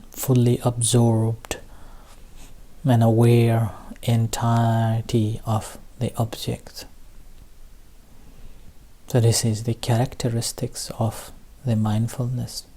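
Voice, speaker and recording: Slow, mellow low-voiced man guiding meditation. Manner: halting, short phrases with long pauses. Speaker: Buddhist meditation teacher leading a group session. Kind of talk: guided meditation instruction, unscripted.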